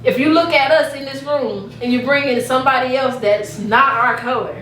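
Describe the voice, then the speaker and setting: Echoey, higher-voiced woman talking emphatically. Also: moderate pace, fairly bright tone. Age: 30s. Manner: emphatic, explanatory, repeatedly checks for agreement. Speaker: podcast panelist. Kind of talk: casual group discussion. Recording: roomy echo, distant mic, faint noise.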